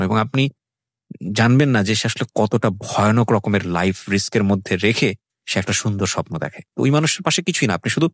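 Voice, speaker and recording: A man's voice: lowish voice, slightly rough, dry recording, studio quality. A middle-aged Bangladeshi man hosting an interview, speaking earnestly and with opinions, mixing in English phrases as he reflects. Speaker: show host.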